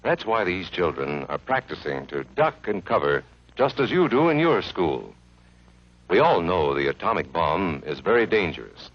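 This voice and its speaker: A man's voice: low-pitched, big pitch swings, normal speed, no echo, dull audio. A man narrating an educational film for children in an earnest, friendly, instructive way, from an old 1950s soundtrack.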